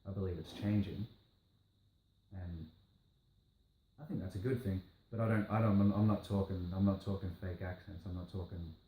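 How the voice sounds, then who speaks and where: A low, mellow-voiced man with an Australian accent talks through his views in a relaxed interview. He circles back on himself, repeating phrases and restarting sentences. The room is echoey, with a faint noise underneath. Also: normal speed, long pauses. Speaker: rapper, interview guest. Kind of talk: casual interview. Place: indoor room, on a couch. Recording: roomy echo, faint background noise.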